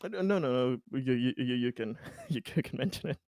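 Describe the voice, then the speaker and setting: A man's voice: medium pitch, lively intonation, normal speed, a little mellow, smooth, no echo. A man chatting casually as a player in a tabletop roleplaying game, stammering, self-deprecating and readily amused.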